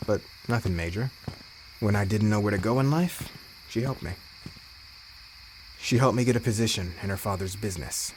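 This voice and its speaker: Man playing a deep-voiced, easygoing loyal henchman. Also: slight background noise, low-quality recording. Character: loyal, laid-back male right-hand man of boss. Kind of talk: audio roleplay acting addressed to the listener.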